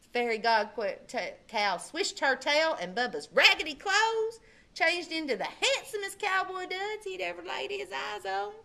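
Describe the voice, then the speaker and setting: Animated woman reading a storybook. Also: very high voice, moderate pace, gravelly. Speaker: children's librarian. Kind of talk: storybook read-aloud.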